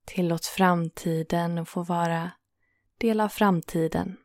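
Low, even-voiced woman guiding relaxation. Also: no room sound, clean studio sound. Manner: calm, soothing, unhurried with gentle pauses. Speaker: meditation guide and host. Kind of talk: guided yoga nidra relaxation.

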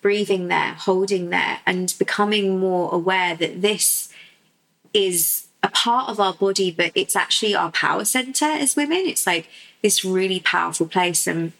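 A woman who works as a sexuality coach, explaining softly and unhurriedly as a guest in a podcast interview, with many fillers. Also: mid-range voice, normal speed, gravelly, low-quality recording.

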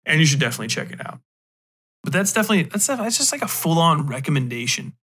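A man's voice: on the high side, very animated, very fast, fairly bright tone, breathy edge. A man hosting a podcast, giving a casual, unscripted review in a rambling, emphatic way. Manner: rambling, emphatic, profane slang. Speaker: podcast host.

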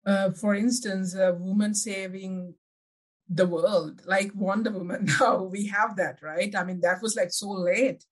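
A woman with a Sri Lankan accent speaks as an interview guest in second-language English. Her pitch sits in the middle for a woman. She reflects on personal experience, searching for words and correcting herself as she goes. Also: steady tone, unhurried. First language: not English (English is second language).

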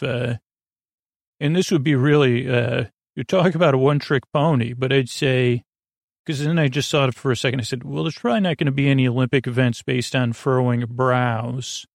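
A man with a creaky voice hosting a sleep podcast, meandering haltingly and goofily through a bedtime monologue and often interrupting himself. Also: medium pitch, no room sound, clean studio sound. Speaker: podcast host. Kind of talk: rambling bedtime monologue to help listeners sleep.

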